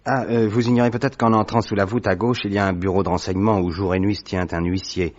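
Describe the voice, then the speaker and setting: A man voicing a worried young male government clerk in a radio drama, recounting events in a measured, hesitant way. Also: on the low side, little pitch movement, close to an everyday voice. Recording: dull muffled audio recording.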